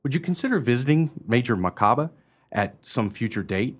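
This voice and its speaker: A man acting a gallant, jesting military officer in a radio play, husky-voiced, courtly and wry. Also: mid-range voice, lively intonation, fairly quick.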